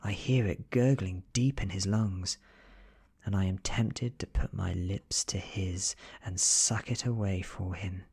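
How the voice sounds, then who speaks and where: A man with a British accent giving a soft-spoken, near-whispered ASMR reading of a horror story, close to the microphone. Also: low-pitched, fairly even intonation, unhurried, husky. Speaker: narrator.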